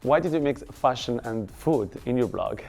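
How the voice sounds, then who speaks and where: A man working as a showbiz reporter, putting brisk interview questions to his guest. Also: medium pitch, animated, vocal fry, darkish tone, faint music behind.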